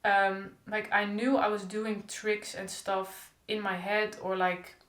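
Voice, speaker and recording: A woman's voice: mid-range voice, flat delivery, slow pace, fairly bright tone. A young woman who stutters openly with repeated sounds, talking in a casual diary-style vlog. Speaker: stuttering vlogger. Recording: echoey room.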